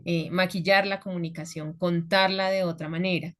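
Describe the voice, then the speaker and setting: A woman's voice: on the low side, fairly even intonation, moderate pace. A woman with a Colombian accent, a communication trainer speaking conversationally and checking in often with her listeners. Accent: Colombian Spanish. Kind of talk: conversational talk.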